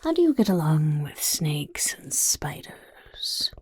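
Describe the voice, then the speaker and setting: A woman voicing a scheming male goblin who interviews recruits for a chaos horde, in a whispery soft-spoken ASMR roleplay, talking of himself in the third person. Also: low-pitched, sing-song, takes it slowly, full character voice. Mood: curious. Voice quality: gravelly.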